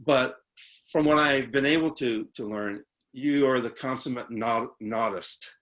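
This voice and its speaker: A man hosting a video call interview, speaking haltingly with stammers and restarts. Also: mid-range voice, a bit bright, rough recording. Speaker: host interviewer. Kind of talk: conversational interview.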